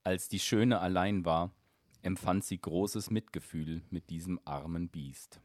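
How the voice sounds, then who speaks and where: A man calmly narrating a fairy tale in a measured storytelling voice. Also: low-pitched, dry recording, studio quality.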